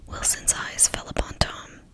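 A woman reading a novel aloud in a steady, hushed whisper. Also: a little slow. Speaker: narrator.

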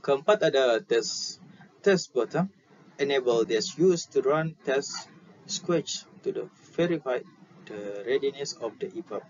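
Young Indonesian male instructor, unhurried and halting, explaining slides informally on dull headset audio. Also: medium pitch, lively intonation. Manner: halting, explanatory, repeats key terms. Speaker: maritime safety instructor. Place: indoor room. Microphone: headset at a computer. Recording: dull lo-fi audio with clear background noise.

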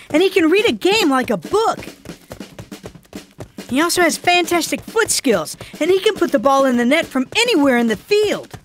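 A woman's voice: high-pitched, a bit bright, slight background noise. A woman voicing an earnest boy footballer in a cartoon, explaining things seriously to his teammates. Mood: serious, informative. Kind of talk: animated cartoon dialogue. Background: background music.

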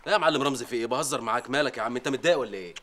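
A man's voice: medium pitch, racing, a bit bright, slight background noise, close to an everyday voice. A man playing a joking tea boy with teasing banter, in an Egyptian accent. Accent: Egyptian Arabic.

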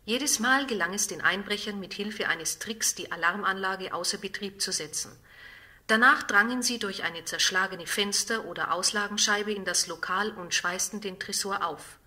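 A woman playing a formal, factual radio newsreader in an audio drama, with measured delivery. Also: medium pitch, bright, forceful tone. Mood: formal, neutral. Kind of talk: radio news reading.

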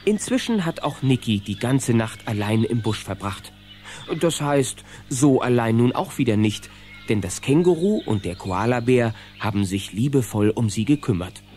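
A man's voice: mid-range voice, animated, moderate pace, a little mellow, soft background music, lightly acted. A man narrating a German children's adventure radio play as the storyteller. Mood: storytelling.

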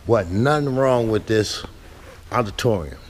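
A man's voice: on the low side, expressive, measured pace. A man who lives across the street, talking casually and rambling as he shows a visitor around a burned-out school. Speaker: local resident. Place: large burned-out auditorium, indoors. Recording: long echo from off-mic.

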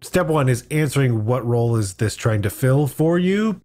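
A man's voice: mid-range voice, lively intonation, moderate pace, dark tone. Opinionated man with dry sarcasm. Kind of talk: casual tabletop game discussion.

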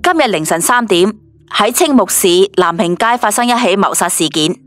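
A woman's voice: mid-range voice, animated, normal speed, a bit bright, soft background music, close to an everyday voice. A woman playing a formal television news reporter in an audio story. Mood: formal, serious.

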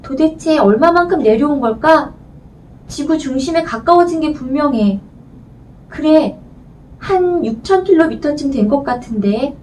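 A woman's voice: high voice, lively intonation, a little slow, darkish tone, far from the mic, voice pushed up, rough recording. Curious storybook girl, self-impressed, thinking aloud in a raised voice, adult female narrator; faint noise. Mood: wondering. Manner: musing aloud to herself, exclaiming. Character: curious, boastful, dreamy little girl in a storybook.

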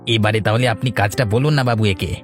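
Man as sly village go-between, persuasive, low pitch, cartoon storytelling style. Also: a little fast, soft background music, lightly acted. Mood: deferential. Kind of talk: Bengali fairy-tale cartoon voice acting.

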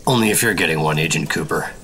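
An actor playing a stern government secret agent in a 1950s-style noir radio drama, with a dry, clipped, official manner. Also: male voice, extremely low pitch, fast, husky, exaggerated voice. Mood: dry, dismissive. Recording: loud music bed behind voice.

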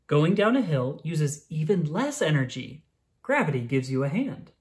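A narrator reading a children's science book aloud in a clear, expressive storytelling manner for young children. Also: medium pitch, moderate pace.

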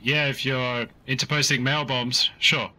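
A man playing a dry, sardonic robotic talking dog in a cartoon, speaking deadpan. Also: mid-range voice, unhurried, poor audio. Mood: matter-of-fact.

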